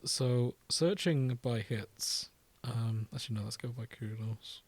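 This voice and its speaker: A man's voice: mid-range voice, unhurried, no room sound. A man co-hosting a podcast in casual chat, with a jokey manner. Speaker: podcast co-host.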